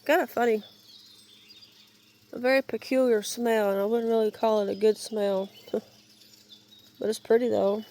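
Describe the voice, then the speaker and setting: Woman, hoarse and crackly from a cold, flat mellow delivery, halting outdoor phone walk-and-talk, birds in background. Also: on the high side. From Georgia, US. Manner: halting, searching for words, many restarts. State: raspy, crackly voice while getting over a cold. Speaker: gardener giving a yard tour. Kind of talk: casual rambling walk-and-talk. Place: outdoors in a wooded yard. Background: birds and outdoor ambience.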